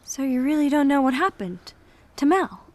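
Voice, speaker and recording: A woman's voice: sits high, lively intonation, normal speed, darkish tone. An actress playing a troubled, searching daughter in a naturalistic radio drama, direct and wry in the way she talks. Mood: puzzled, uneasy.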